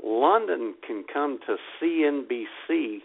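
A man's voice: medium pitch, a little slow, vocal fry. A man co-hosting a comedy podcast in casual, jokey chat, heard through a tinny, phone-like recording. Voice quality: animated, jokey. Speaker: podcast co-host.

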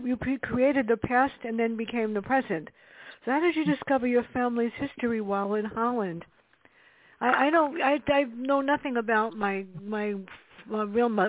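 A woman hosting a radio interview, reading an introduction and asking halting questions. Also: fairly high pitch, no echo. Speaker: radio host. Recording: dry tinny phone-line sound.

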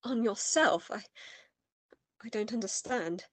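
An actress in a radio play playing an earnest, distraught gentlewoman, emotive and theatrical in her pleading. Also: fairly high pitch, takes it slowly, no echo. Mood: troubled questioning.

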